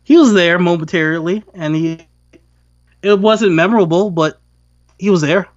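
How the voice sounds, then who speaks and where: A man's voice: sits high, normal speed, no echo, highs cut off. A man co-hosting a podcast, giving a casual, opinionated film review and slipping into acted-out quotes. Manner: acts out imagined quotes while talking. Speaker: podcast co-host reviewing films.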